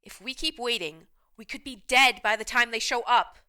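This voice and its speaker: A woman's voice: on the high side, moderate pace, pushed and bright, clean tone. A woman playing a bossy, blunt, hard-nosed restaurant manager in a radio play. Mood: argumentative. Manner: blunt, assertive.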